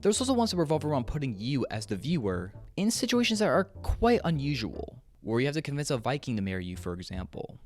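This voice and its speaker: A man's voice: medium pitch, big pitch swings, fast, hardly any pauses. A man hosting a video essay, giving scripted, opinionated commentary with casual, wry asides. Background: background music.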